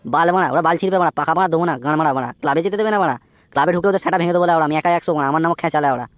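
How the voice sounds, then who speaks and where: A man's voice: on the high side, very fast, natural voice. A man playing a brash, foul-mouthed, boastful young slacker in a comedy cartoon. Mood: blustering. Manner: crude, swearing, blustering.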